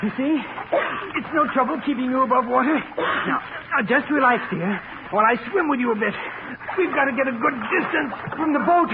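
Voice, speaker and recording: High-pitched devoted husband, old-time radio. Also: male voice, fairly quick. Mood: reassuring. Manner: earnest, theatrical, endearments to his wife. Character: devoted husband on a boating anniversary trip. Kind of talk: 1940s radio drama acting. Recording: scratchy, tinny 1940s radio recording, heavy noise.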